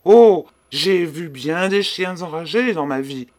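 Notaire paniqué, pompeux, voix haut perchée. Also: male voice, fairly high pitch, lively intonation, slow, a little mellow. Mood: frightened, boastful. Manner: theatrical, exclamatory, solemn and emphatic. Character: panicky, pompous small-town notary, indignant and melodramatic. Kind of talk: dramatised literary audiobook acting.

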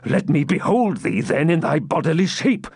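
A man's voice: fairly high pitch, big pitch swings, normal speed, vocal fry, a little mellow, slightly rough, no echo, clean studio sound, voice pushed up, exaggerated voice. A man doing a growling, defiant dying baron. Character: dying savage old baron, stubborn and fierce.

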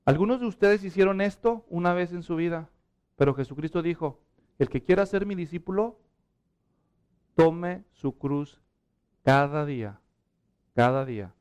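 A middle-aged Mexican man with a Mexican Spanish accent, preaching a sermon, moving from hushed pleas to urgent exhortations. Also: fairly high pitch, expressive, a little slow, pauses often, clear, resonant tone. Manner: impassioned, drops to hushed prayer then rises to urgent appeals. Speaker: pastor.

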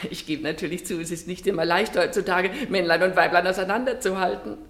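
A woman's voice: fairly low pitch, steady tone, a little fast, lightly acted. An actress playing an older upper-class mother in a radio drama, genteel, talkative and slightly arch. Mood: arch, amused.

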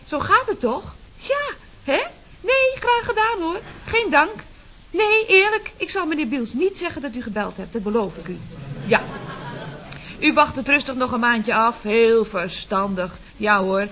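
An actress playing a cheeky, mock-innocent office secretary in a Dutch radio comedy, with sly, sugary phrasing. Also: female voice, high voice. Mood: slyly teasing. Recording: dull, faintly noisy vintage radio recording.

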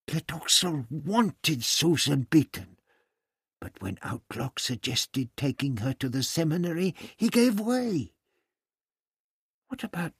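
A man's voice: fairly high pitch, breathy edge, no room sound, studio quality, voice pushed up, heavily acted. A man voicing an irascible, authoritative older doctor in an audiobook story, with emphatic, old-fashioned phrasing. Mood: urging, persuasive. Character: irascible, authoritative older male doctor, gruff but kindly.

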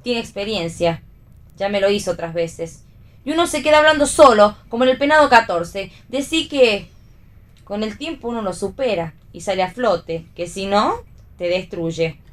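A woman's voice: medium pitch, animated, moderate pace, lightly acted. A woman with an Argentine accent playing a theatrical, ironic actress who needles her friend in a read radio play. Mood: resentful. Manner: ironic, playful with words.

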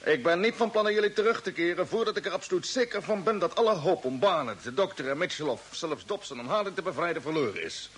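Lively, determined space commander. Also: male voice, a little high, quick pace, hardly any pauses, slightly rough. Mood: firm, sober. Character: determined male space expedition commander on a Mars mission. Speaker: actor playing the captain. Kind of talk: old Dutch radio drama acting. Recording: old radio recording with faint hiss.

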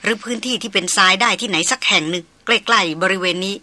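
A woman voicing a tough male jungle tracker speaking low and steady. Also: fast talker, no room sound. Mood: earnest.

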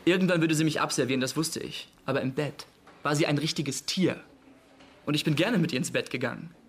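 Higher-voiced, cocky young hustler. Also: male voice, brisk, slightly rough. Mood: candid, cocky. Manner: evasive, streetwise, protesting. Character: defensive, cocky 25-year-old male small-time hustler. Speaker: actor in a radio crime drama. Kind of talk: naturalistic radio play acting.

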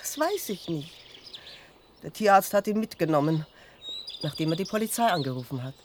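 An actress playing a fretful, chatty country innkeeper in a radio drama, confiding and plaintive. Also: female voice, lowish voice, lively intonation, normal speed, a little mellow, slight background noise, lightly acted.

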